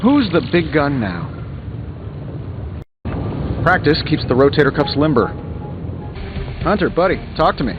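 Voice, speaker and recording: A cocky tech billionaire superhero for a game, highish swoopy voice, sarcastic quips, music under it, bit muffled. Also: male voice, big pitch swings, unhurried, long pauses. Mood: dry, determined. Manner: glib, sarcastic, quippy banter. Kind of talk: video game voice acting.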